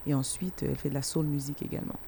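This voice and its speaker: A woman's voice: sits low, little pitch movement, rapid-fire, vocal fry, mellow, breathy edge. A middle-aged woman with a Martinican French accent, speaking casually and emphatically as she tells her own story as an interviewed artist. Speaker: singer and musician interviewed as guest.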